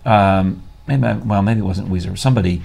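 Gravelly-voiced man hosting a morning show. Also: sits low, brisk, darkish tone. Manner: jokey, laughs often, rambling asides. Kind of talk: casual studio banter.

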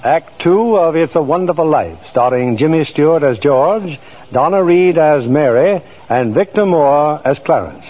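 A man's voice: fairly high pitch, lively intonation, a little slow. A man presenting a radio drama in scripted 1940s narration. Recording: muffled old radio, music behind.

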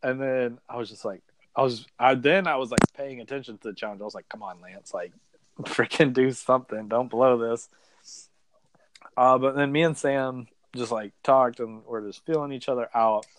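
A man's voice: mid-range voice, normal speed, no room sound. A young adult man telling a story as a podcast guest in a casual chat, filling his talk with "like" and acting out quotes. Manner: chatty, peppered with "like", acts out quotes. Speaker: podcast guest, reality game contestant.

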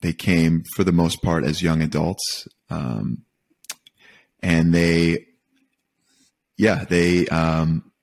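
A man from Michigan talking casually and reflectively as a podcast guest, feeling out his phrasing in a halting, thoughtful way. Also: low-pitched, flat delivery, vocal fry, a little mellow. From Michigan, United States. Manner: thoughtful, halting, rephrases as he goes. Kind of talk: casual reflective interview talk.

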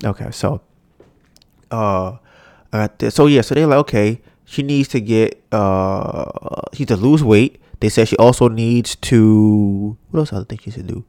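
A man's voice: a little slow, no room sound, clean studio sound. A young man hosting a podcast, ranting casually with a stuffy, nasal voice and lots of swearing. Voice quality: congested, nasal. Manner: animated, mocks others in high put-on voices, swears. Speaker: podcast host. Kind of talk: casual solo rant.

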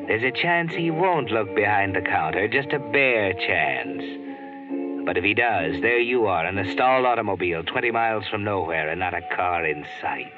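Brief: male actor as a low, urgent inner voice in a 1940s radio thriller, tinny broadcast under loud music. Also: lively intonation, moderate pace, natural voice. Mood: tense. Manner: urgent, second-person commands. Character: man, a grim inner voice that urges a woman on. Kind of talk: 1940s radio drama narration.

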